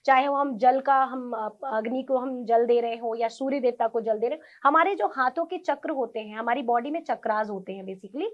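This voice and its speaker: A woman with a high-pitched voice gives explanations as an interview guest. She is earnest and instructive, dropping English words into her speech. The sound is somewhat dull and lo-fi. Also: normal speed, few pauses. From India. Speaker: interview guest, tarot reader and Reiki practitioner; government school teacher.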